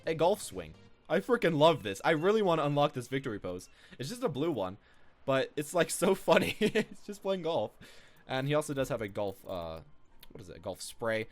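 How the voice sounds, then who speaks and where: Animated man rambling over game music. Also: a little high, normal speed. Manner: rambling, repeats his own phrases. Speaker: gaming video host. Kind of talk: casual off-the-cuff gameplay commentary.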